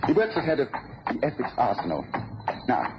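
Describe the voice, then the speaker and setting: An actor playing a shrewd, commanding British detective in a mid-century radio drama, speaking precisely and briskly. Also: male voice, medium pitch, wildly swooping pitch, over music. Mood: confident, explanatory. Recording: muffled, noisy old radio recording.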